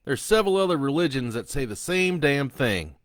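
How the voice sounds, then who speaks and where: A man's voice: mid-range voice, sing-song, brisk, dry recording, clean studio sound, voice pushed up, close to an everyday voice. A man voicing a crude, gloating male killer on death row who mocks a priest. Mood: taunting. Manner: taunting, vulgar, mocking.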